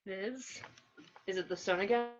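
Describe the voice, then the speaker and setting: A woman's voice: mid-range voice, normal speed, highs cut off, faint music behind, lightly acted. A woman playing a tender, worried young superheroine, earnest in delivery, in an amateur film table read.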